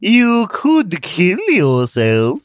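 A man voicing a manipulative talking refrigerator that speaks in a slow, insinuating voice. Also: high-pitched, huge pitch swings, smooth, no room sound, clean studio sound, pitched above the performer's own voice, full character voice.

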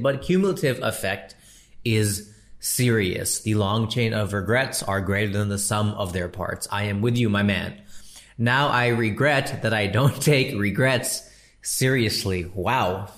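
A man who hosts a podcast and does comedy, giving casual, slangy advice to a listener and laughing at his own jokes. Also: lowish voice. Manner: chatty and slangy, calls listener bro and dude.

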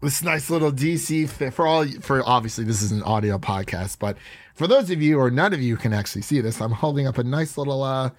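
Man, podcast host, raspy stuffy voice, chatty rambling, dry close sound. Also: mid-range voice, lively intonation, fairly quick, dry recording. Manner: chatty, rambling with frequent restarts. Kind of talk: casual podcast chat.

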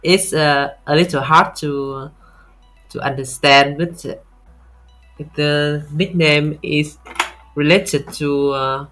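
A man from Vietnam with a Vietnamese accent, talking haltingly as a casual interview guest. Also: a little high, fairly even intonation, a bit bright, faint music behind. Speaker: podcast guest, software tester.

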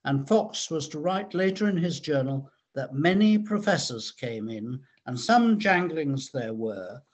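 A man reading a researched historical account aloud in a measured, formal way, with the occasional stumble. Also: on the high side, expressive, dull audio. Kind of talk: scripted historical narration, read aloud.

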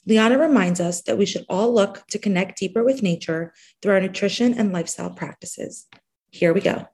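A woman narrating a scripted podcast introduction in a measured way. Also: medium pitch, a little mellow, slightly rough. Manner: measured, reading a guest introduction.

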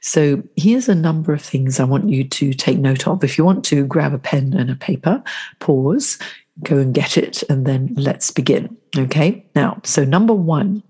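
A middle-aged woman hosts a solo advice podcast, her voice low and dark. She is congested and throaty from the flu, so the sound comes out husky. She talks in a chatty, coaching way and keeps asking the listener questions. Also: expressive, unhurried. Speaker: podcast host.

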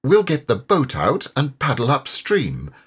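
A man's voice: medium pitch, huge pitch swings, measured pace, breathy edge, pitched above the performer's own voice, full character voice. A man voicing a loyal, good-natured mole in an audiobook, eager and simple-hearted. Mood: earnest, determined. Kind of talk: audiobook character voice.

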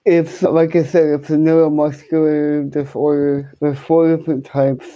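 Dark-voiced man with slurred speech. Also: a little high, level tone, normal speed, dry recording. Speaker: podcast guest, guitarist and musician. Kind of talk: conversational interview answers.

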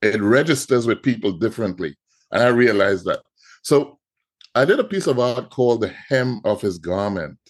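A man's voice: lowish voice, expressive, normal speed. A middle-aged man with a Trinidadian accent, telling his story as a podcast guest, often repeating words as he goes. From Trinidad and Tobago. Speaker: guest artist. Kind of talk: podcast interview.

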